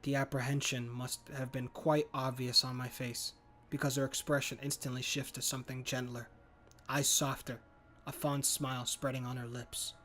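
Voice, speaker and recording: A man's voice: medium pitch, level tone, normal speed, fairly bright tone, a little hiss or hum. A man reading a visual novel's narration aloud as a gaming host, plainly and correcting his own slips. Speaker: gaming YouTuber.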